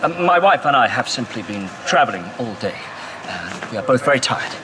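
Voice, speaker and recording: A man's voice: mid-range voice, very animated, brisk, noisy background, close to an everyday voice. A man playing an anxious, gallant Victorian husband in a horror audio drama, formal in phrasing and easily flustered. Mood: nervous, flustered.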